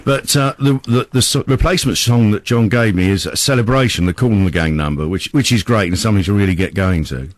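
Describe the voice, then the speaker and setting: Middle-aged British male amateur actor, fairly low voice, casual interview delivery, dry recording. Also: fast, few pauses, no room sound. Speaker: amateur pantomime performer, interview guest. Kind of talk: casual radio interview chat.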